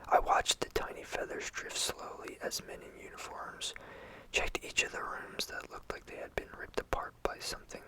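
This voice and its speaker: A storyteller whispering a first-person horror story in a hushed, intimate and steady way. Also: rough recording.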